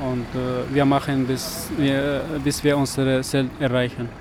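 Halting man from Afghanistan, flat voice. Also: medium pitch, background noise. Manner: halting, searching for words. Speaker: protester. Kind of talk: street interview. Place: outdoors on a city street.

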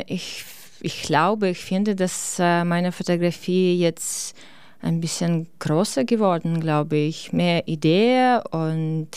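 A woman from Ukraine, a photographer in her forties, answering interview questions in halting German with many fillers. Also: on the low side, darkish tone, clear, resonant tone, no echo, clean studio sound. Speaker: guest photographer. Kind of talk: radio interview.

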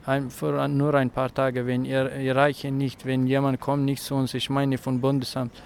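A man's voice: medium pitch, monotone, moderate pace, vocal fry. Earnest young man from Afghanistan. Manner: earnest, hesitant, searching for words. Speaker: protester. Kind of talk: street interview. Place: outdoors on a city street.

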